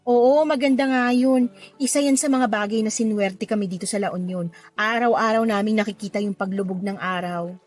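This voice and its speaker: A woman playing a cheerful, friendly young provincial woman in a Tagalog radio drama, playful and expressive. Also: mid-range voice, brisk, natural voice. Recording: music under the voice.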